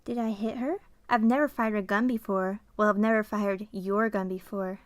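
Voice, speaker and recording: A woman's voice: medium pitch, fairly even intonation, moderate pace, natural voice. Woman as a matter-of-fact murderous maid. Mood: coolly menacing. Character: coolly murderous young maid of the house. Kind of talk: hobby noir radio drama acting.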